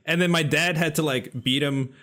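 A man's voice: a little high, steady tone, moderate pace, bright, forceful tone. A man in casual chat, laid-back and laughing often while he tells stories.

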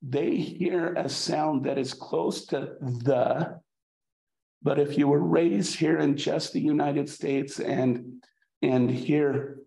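Slow, gravelly man lecturing in an echoey room. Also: on the high side, warm tone. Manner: halting, many fillers and restarts. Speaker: college instructor. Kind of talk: recorded class lecture.

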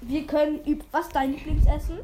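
Hoarse high-voiced woman, rambling chat. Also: little pitch movement, a little fast, heavy background noise. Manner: rambling, filler-heavy, chatty. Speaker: podcast co-host. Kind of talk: casual chat.

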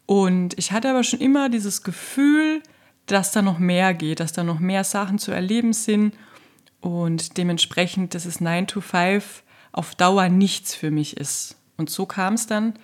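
A woman from Austria, co-hosting a podcast and chatting in a friendly, upbeat way about her path. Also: lowish voice. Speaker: podcast co-host. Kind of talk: casual podcast chat.

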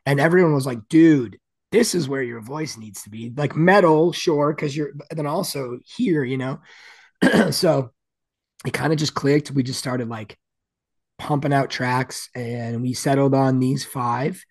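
A man with a deep, gravelly and raspy voice, talking loosely as a guest. His speech rambles, full of "like" and "you know". The sound is close and dry, with no room around it. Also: a little slow, dry recording. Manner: rambling, many "like" fillers. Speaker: guest musician. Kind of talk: casual interview chat.

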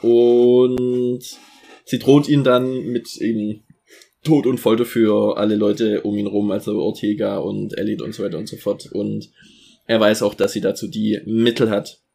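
A man's voice: lowish voice, level tone, measured pace, gravelly, mellow, breathy edge. A man co-hosting a podcast, recapping a series episode in a rambling way with many fillers. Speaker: podcast co-host summarizing an episode.

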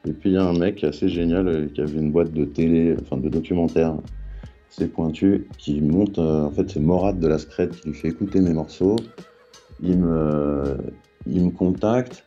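A man's voice: rumbling low, moderate pace, dark tone, dull audio, faint music behind. A middle-aged man from France, a guest telling his story in a rambling, casual way with slang and many restarts. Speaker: guest, graffiti artist and rapper. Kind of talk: casual interview talk.

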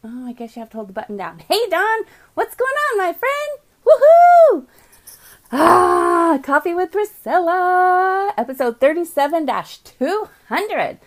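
A woman hosting a live morning podcast from her home office, chatty and upbeat, greeting viewers by name, with a voice she calls calming, on a computer mic. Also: very high-pitched, unhurried. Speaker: podcast host. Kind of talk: casual live video chat.

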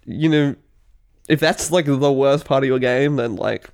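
A man's voice: medium pitch, unhurried, gravelly, darkish tone. Rambling snotty young Aussie guy. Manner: rambling, heavy on "like" and swearing. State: congested, snotty. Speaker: podcast co-host. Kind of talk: casual chat.

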